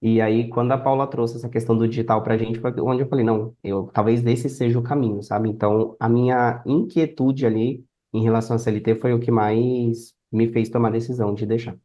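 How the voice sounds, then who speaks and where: A man's voice: mid-range voice, fairly even intonation, a little fast, hardly any pauses, warm tone. A Brazilian man telling his own story casually as a guest on a podcast. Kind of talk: casual personal storytelling in conversation.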